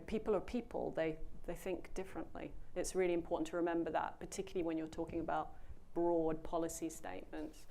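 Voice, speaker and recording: A woman with a fairly low voice speaks to a room full of people, introducing a panel discussion. She talks earnestly and with emphasis, often pausing on fillers like "um" and "you know.". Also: a little mellow. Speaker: panel moderator, program founder. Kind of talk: panel introduction to a live audience. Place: event room with an audience.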